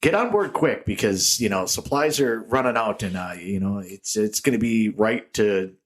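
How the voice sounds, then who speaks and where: A man's voice: on the low side, lively intonation, moderate pace. A man co-hosting a casual beer podcast, rambling with jokey tangents and frequent laughs, recorded in a small echoey room with a tinny sound. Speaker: podcast co-host. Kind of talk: casual chat.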